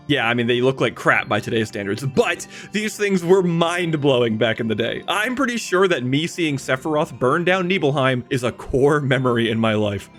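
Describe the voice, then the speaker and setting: A man in his thirties narrating a scripted video essay in an emphatic, wry way with dramatic pauses. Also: mid-range voice, fairly bright tone. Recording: music behind the voice. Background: background music.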